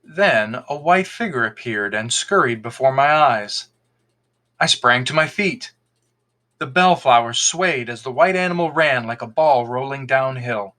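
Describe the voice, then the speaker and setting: A man reading a folktale aloud as an expressive podcast storyteller, in scripted narration. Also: medium pitch, a little slow.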